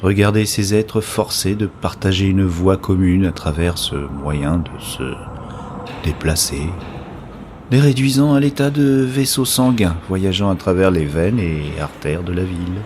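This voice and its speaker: A man playing an introspective, gently wry narrator in a science-fiction audio drama, speaking in a literary, observational way. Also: sits low, a little slow, noisy background. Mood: pensive, observant.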